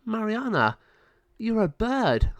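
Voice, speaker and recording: A man's voice: a little high, animated, moderate pace, no echo, voice pushed up, close to an everyday voice. A man voicing a wise, determined good sorceress in a storybook tale, in a raised voice. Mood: earnest.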